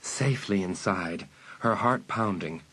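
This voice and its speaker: A man narrating a suspense audiobook in a measured, dramatic storytelling manner. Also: low voice, background noise.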